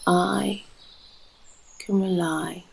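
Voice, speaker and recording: A British woman guides a meditation in a low, dark voice with a very creaky edge. Her delivery is soft and soothing, leaving long pauses between short phrases. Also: steady tone. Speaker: yoga nidra guide and host.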